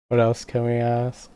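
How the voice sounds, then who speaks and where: A game streamer chatting casually on a livestream, thinking aloud in a halting way while typing at a computer. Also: mid-range voice, no room sound. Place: indoors at a computer. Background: music, keyboard typing.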